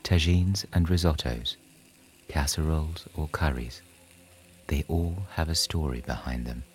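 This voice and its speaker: A man narrating a scripted bedtime sleep story in a soothing, hushed, unhurried storytelling manner. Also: extremely low pitch, a little hiss or hum. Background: soft relaxation music.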